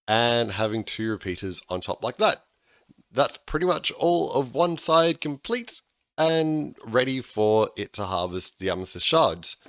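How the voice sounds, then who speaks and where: Lively man with a slightly hoarse voice. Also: mid-range voice, dry recording. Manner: chatty, with frequent restarts. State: slightly hoarse, losing his voice. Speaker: gaming tutorial host. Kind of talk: casual game tutorial voice-over.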